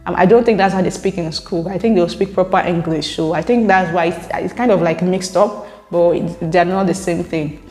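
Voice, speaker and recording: A woman's voice: lowish voice, normal speed, darkish tone, soft background music. A young Nigerian woman vlogging casually, reading viewer comments aloud and explaining herself at length, laughing often. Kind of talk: casual chat to camera. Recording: echoey room with long reverb.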